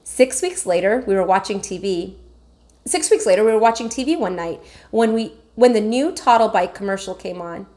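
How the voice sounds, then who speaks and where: A woman teacher reading a children's chapter book aloud with expressive, animated storytelling. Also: mid-range voice, moderate pace.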